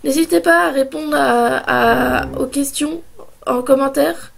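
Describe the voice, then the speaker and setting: A woman in her early twenties, hoarse from a sore throat and a cold, talking in a hesitant casual vlog with many pauses and restarts. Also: high-pitched, slight background noise. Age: 20s. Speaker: vlogger.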